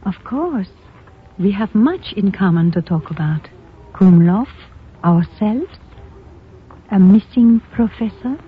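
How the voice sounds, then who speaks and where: Tinny-sounding European femme fatale. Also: female voice, mid-range voice, expressive, slow, pauses often, slightly rough, heavily acted. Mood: coolly confident. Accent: Continental European. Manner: forward, coolly teasing, formal phrasing. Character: seductive, confident, mysterious European femme fatale. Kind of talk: 1950s radio drama acting. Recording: tinny, narrow, hissy old radio recording.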